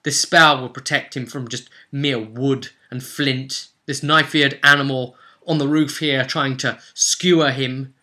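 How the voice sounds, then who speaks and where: A man's voice: medium pitch, steady tone, a little slow, hardly any pauses, pushed and bright. A man narrating a tabletop fantasy role-play session as game master, telling it dramatically and off the cuff in vivid, emphatic short phrases.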